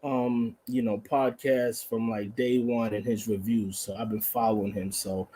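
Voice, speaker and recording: A man's voice: lowish voice, little pitch movement, measured pace, dark tone, slightly rough, rough recording. A man hosting a podcast, asking questions in an informal, slangy, chatty way. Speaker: podcast host, interviewer. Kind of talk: casual interview chat.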